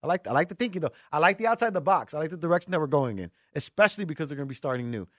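An American man hosting sports talk radio, talking with callers in an informal, animated, conversational way. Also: on the high side, rapid-fire, dry recording, clean studio sound. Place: radio studio. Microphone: close broadcast microphone.

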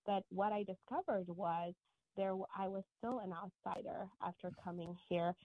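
A woman from Ethiopia, a guest author on a radio talk show, answering earnestly and in a measured way with frequent restarts, heard over a remote line. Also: medium pitch, fairly even intonation, dry recording. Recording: tinny phone-line sound, dry.

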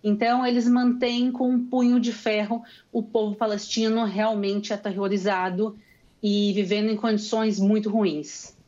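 A woman's voice: medium pitch, flat delivery, moderate pace, mellow, dull audio. A young woman with a gaúcho Brazilian accent, earnestly explaining events as a guest over a remote call. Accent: gaúcho Brazilian Portuguese. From Rio Grande do Sul, Brazil. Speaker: guest commentator living in Israel. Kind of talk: call-in interview commentary.

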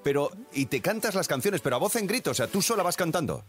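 Animated male Spanish radio host over music. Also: on the high side, fast. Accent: Spain. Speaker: radio morning show host. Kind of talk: lively banter and listener call-in. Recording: music bed under studio voice.

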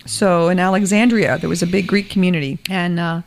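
A woman's voice: on the low side, fairly even intonation, a little fast, gravelly. A middle-aged woman raised in Florida, a guest in a casual interview, talking in a chatty storytelling way with restarts. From USA, born in New York, raised in Florida. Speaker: guest, festival director.

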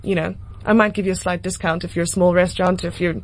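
A woman's voice: on the low side, steady tone, fast talker, darkish tone, rough recording. A South African woman in her twenties talking casually and informally as an interview guest. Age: 20s. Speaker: singer-songwriter guest.

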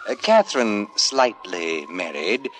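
An actor playing a suave, gloating Satan who hosts a 1950s radio horror tale in a theatrical, insinuating way. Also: male voice, on the low side, sing-song, slow, slight background noise, full character voice. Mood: gloating, wry. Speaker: story narrator and host. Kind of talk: 1950s radio drama acting. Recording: old radio recording, loud music behind.

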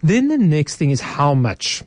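Mellow woman giving money advice. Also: deep voice, sing-song, measured pace, dry recording. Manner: practical, explains step by step. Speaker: financial adviser guest. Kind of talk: radio studio advice talk. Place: radio studio.

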